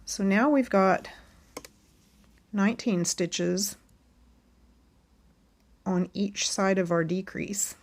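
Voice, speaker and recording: Hoarse-ish lady walking you through knitting steps, chatty and easy, talks while she works. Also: medium pitch, drawn-out words, long pauses. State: losing her voice. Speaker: knitting tutorial host. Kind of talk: casual step-by-step tutorial.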